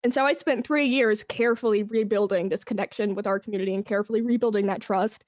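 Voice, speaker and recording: A woman chatting casually as an interview guest, telling work stories in a candid, slangy, animated way. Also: mid-range voice, fast, no room sound, clean studio sound. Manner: candid, animated storytelling with slangy asides and acted-out quotes. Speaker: esports brand manager, podcast guest.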